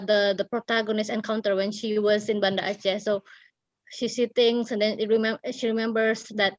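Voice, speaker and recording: A woman with an Indonesian accent telling a story casually, often halting as she searches for words. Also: medium pitch, no echo, dull audio. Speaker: writer and guest storyteller. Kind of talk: casual storytelling in an online talk.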